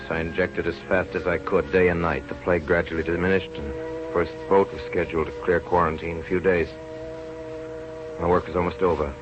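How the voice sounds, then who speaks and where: Deep-voiced earnest radio-drama doctor played by a man. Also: natural voice. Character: earnest, driven male doctor and scientist, narrating his story. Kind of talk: 1930s radio drama acting. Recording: muffled old radio recording with music.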